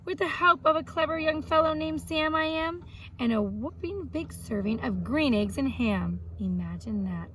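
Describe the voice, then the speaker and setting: A woman's voice: high voice, lively intonation, moderate pace, a bit bright, slight background noise. A woman speaking as an elementary teacher to young children, reading aloud and explaining things simply, step by step. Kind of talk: read-aloud and children's art lesson.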